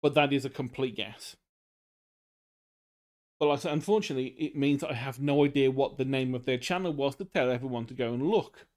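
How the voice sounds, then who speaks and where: Young male streamer, mid-pitched, casual and rambling, teaches drawing. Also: a little fast, a little mellow. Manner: rambling, loose explanations with many fillers. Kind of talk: casual live-stream art tutorial.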